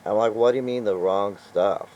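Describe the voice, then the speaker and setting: A man's voice: lowish voice, moderate pace, darkish tone, muffled recording, slight background noise. An older man talking casually in a vlog, rambling and exasperated, with chuckles and restarts along the way. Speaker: vlogger.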